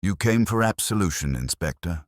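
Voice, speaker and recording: A worldly-wise clergyman under suspicion in a detective story, voiced by a male narrator. His voice is extremely deep and measured. He speaks with wry, guarded formality, recorded dry. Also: animated, no echo.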